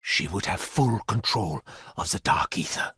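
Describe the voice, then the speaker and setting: An actor playing a grave, embittered, scornful man with a gravelly, raspy voice in an intense video game drama. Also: fairly low pitch, expressive, unhurried, sharp tone, no room sound, studio quality, exaggerated voice. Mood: grave urgency. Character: embittered, grave man bent on stopping a foe.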